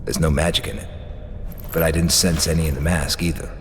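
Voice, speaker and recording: A man playing a grim, stern inquisitor in a video game, measured and solemn. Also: a bass voice, fairly even intonation, slight background noise. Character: grim, composed male inquisitor, coolly stern.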